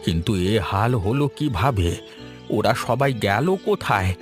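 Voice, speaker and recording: Cartoon villager male actor, fairly low voice, curious and moralizing, faint music behind. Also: lively intonation, normal speed, close to an everyday voice. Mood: wondering. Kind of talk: Bengali animated fairy tale dubbing.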